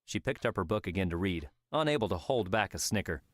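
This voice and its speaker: A man's voice: deep voice, lively intonation, fast talker, slight background noise. A man reading scripted story narration as an audiobook narrator. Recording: music under the voice.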